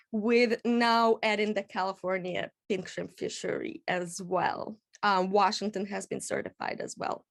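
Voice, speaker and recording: A woman from Kazakhstan giving a measured presentation at a public panel, with frequent "um" pauses. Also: mid-range voice. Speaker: executive director, invited speaker.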